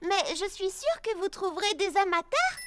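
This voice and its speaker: A woman voicing a sweet, polite young girl baker, cheerful and gently tactful, in a French children's cartoon dub. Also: very high-pitched, sing-song, moderate pace, background noise. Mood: tactful, hesitant. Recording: prominent cartoon music underneath.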